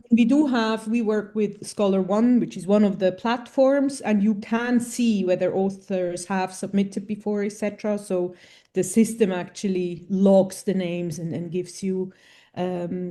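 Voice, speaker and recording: Frank woman editor in an interview, relaxed dark timbre. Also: mid-range voice, unhurried. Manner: frank, plain-spoken. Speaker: journal editor. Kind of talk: conversational interview answers.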